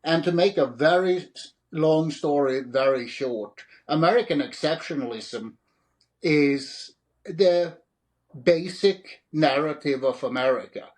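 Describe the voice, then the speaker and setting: A man's voice: fairly high pitch, takes it slowly. A middle-aged man from Sweden with a Swedish accent, answering interview questions as an author with frequent fillers and restarts. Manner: hesitant, many fillers and restarts. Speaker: author and guest.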